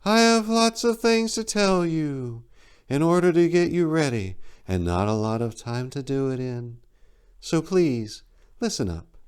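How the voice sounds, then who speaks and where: A man's voice: a little high, measured pace, clean tone, voice pushed up. A man voicing an impatient, commanding female eagle spirit in a dramatic story reading. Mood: brisk, instructive. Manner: impatient, bossy, flat insistence.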